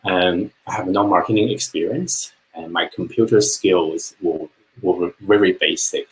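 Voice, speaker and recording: Earnest man from China, lower voice. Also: measured pace, rough recording. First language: Chinese. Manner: earnest, plain-spoken storytelling with small restarts. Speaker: business owner sharing his story. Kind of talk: personal testimonial on a live webinar.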